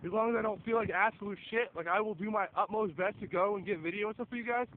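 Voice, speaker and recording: A man's voice: sits high, fast talker. A tired young man losing his voice, rambling casually while walking outdoors with a phone at arm's length. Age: young adult. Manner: rambling, filler-heavy, swears casually. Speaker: vlogger. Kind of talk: casual vlog. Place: outdoors, walking along a windy suburban road. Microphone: phone held at arm's length, earphone mic. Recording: tinny phone-like sound with wind noise.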